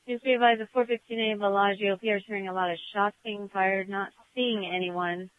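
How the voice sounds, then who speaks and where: A woman's voice: mid-range voice, fairly even intonation, fairly quick, dry recording. Woman dispatcher on tinny police radio.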